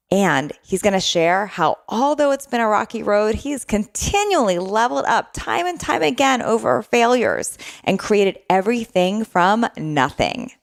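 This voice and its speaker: A woman's voice: medium pitch, dry recording, clean studio sound. A woman hosting a podcast, introducing and questioning her guest with enthusiasm. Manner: enthusiastic, upbeat. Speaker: podcast host. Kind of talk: podcast interview.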